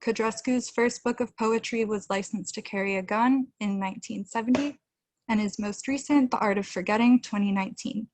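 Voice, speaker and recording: A young woman hosting an online event, reading a formal introduction in a measured way over a webcam video call from a room at home. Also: a little high, a little mellow, dry recording, low-quality recording. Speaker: event host. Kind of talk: read introduction.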